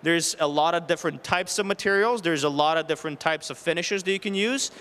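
A man's voice: fairly high pitch, a little fast, fairly bright tone, smooth. A man in his thirties giving a practical, explanatory public presentation into a handheld microphone, often posing questions and listing options. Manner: explanatory, poses rhetorical questions and lists options. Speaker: renovation contractor giving a presentation. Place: stage at an indoor home show. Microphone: handheld microphone held near the chin.

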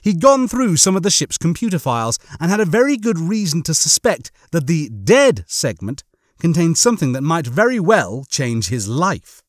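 A man narrates a comic science fiction novel with a theatrical sense of suspense. His voice sits fairly high and swings widely in pitch. The recording is dry and close. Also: very animated, normal speed, no room sound. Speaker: audiobook narrator.

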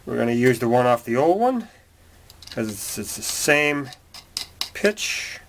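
A man's voice: medium pitch, very animated, measured pace. A man giving casual hands-on how-to commentary in a folksy, plainspoken way, as a repair tutorial presenter. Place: garage workshop, at engine bay and workbench. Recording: clearly noisy workshop recording with clicks. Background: noise, tool clicks.

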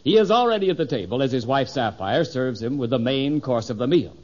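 A man's voice: mid-range voice, animated, a little fast. A man announcing and narrating a 1950s radio show in scripted style. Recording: muffled old radio broadcast. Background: loud music.

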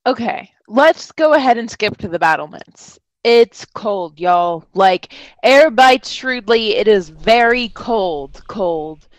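A woman's voice: mid-range voice, animated, takes it slowly, few pauses, no echo, rough recording. A woman narrating a tabletop role-play podcast as game master, theatrical and slangy in her delivery.

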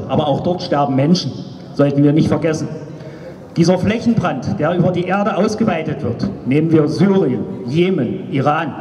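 Echoing, high-pitched man addressing a rally. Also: warm tone. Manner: emphatic, declamatory. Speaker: speaker at a political street rally. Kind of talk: political rally speech, partly read from notes. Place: outdoors on a cobbled town square at night. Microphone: handheld microphone through loudspeaker, recorded by a far camera. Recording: echoey outdoor PA, far from camera.

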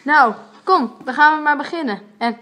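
A woman's voice: high-pitched, moderate pace, pushed and bright. A woman playing a friendly, chatty young companion in an amateur radio play.

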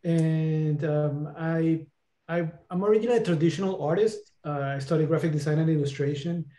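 A man from Venezuela, a paleoartist guest on a video call from his bedroom, talking haltingly with many fillers and restarts. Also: on the high side, mellow, roomy sound. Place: bedroom at home. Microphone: video call with earbuds.

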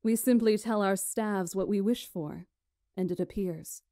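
Woman doing a commanding witch storyteller for a visual novel, soft dark tone, slow formal delivery, dry sound. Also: mid-range voice, no echo, lightly acted. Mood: solemn storytelling. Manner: formal, deliberate, imperious storytelling. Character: poised, commanding witch storyteller lecturing a council.

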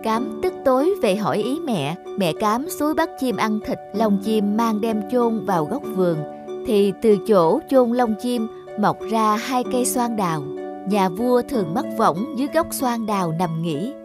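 A woman narrates a scripted fairy tale. Her voice is fairly high with very lively intonation, and music plays clearly underneath. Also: measured pace.

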